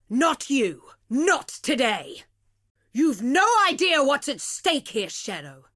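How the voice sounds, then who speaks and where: A woman's voice: extremely high pitch, bright, forceful tone, full character voice. An actress playing an arrogant, ruthless female villain in video game combat, taunting and imperious.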